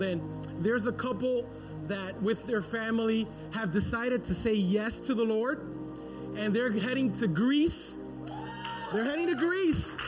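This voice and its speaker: A male pastor with a notably high voice urges his congregation on with energy. He speaks from the front of a church sanctuary, with music playing clearly behind him. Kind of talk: church service announcement.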